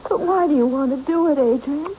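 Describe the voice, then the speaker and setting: An actress playing an anxious, naive woman in a radio mystery, speaking in a timid, halting way with repeated words. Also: high-pitched, natural voice. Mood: uneasy, reluctant. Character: anxious, naive, hesitant woman, easily dominated. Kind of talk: radio mystery drama acting. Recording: dull old radio recording, faint noise.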